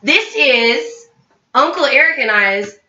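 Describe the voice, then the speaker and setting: A brash, excitable woman hosting a casual, rowdy group chat and swearing freely. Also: sits high, slow. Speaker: host. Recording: roomy, distant, slightly muffled mic.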